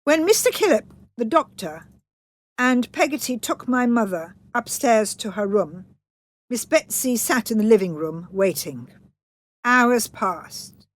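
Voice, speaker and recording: A woman's voice: a little high, lively intonation, a little slow. A woman narrating a children's story in a scripted read-aloud style.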